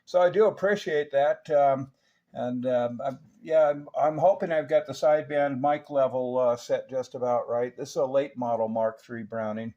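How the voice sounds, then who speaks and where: An older American man with a mellow, dark voice chats in a folksy way as a CB radio operator. He speaks in casual check-ins full of radio lingo. The sound is slightly dull and lo-fi. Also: mid-range voice, normal speed. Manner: folksy, chatty, jargon-filled. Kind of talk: casual radio check-in chat using CB jargon. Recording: dull, lo-fi audio.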